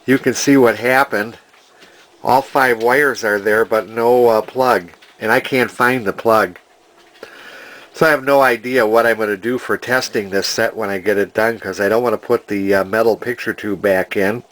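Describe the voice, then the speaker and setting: Low-voiced older man, unhurried rambling. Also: fairly even intonation, a bit bright. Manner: hesitant, rambling, thinking aloud. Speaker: hobbyist vintage TV restorer. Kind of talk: casual unscripted repair narration.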